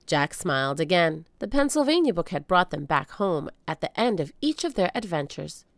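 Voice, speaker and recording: A woman reads a children's story aloud in an animated, dramatic way. Her voice sits a little low but swings widely in pitch. The sound is dry and close. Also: normal speed, no echo. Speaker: children's book reader. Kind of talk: expressive read-aloud storytelling.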